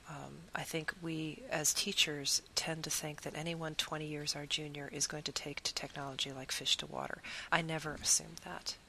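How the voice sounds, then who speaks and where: A woman's voice: deep voice, level tone, moderate pace, creaky, hardly any pauses, sharp tone, a little hiss or hum, poor audio. A woman who teaches Spanish, giving an earnest, reflective talk about her teaching and piling up long lists of phrases.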